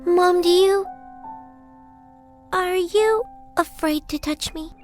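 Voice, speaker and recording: Game role: lonely, gentle small girl, extremely high voice, unhurried and hesitant, over clear music. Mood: timid. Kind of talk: animated video game cutscene acting.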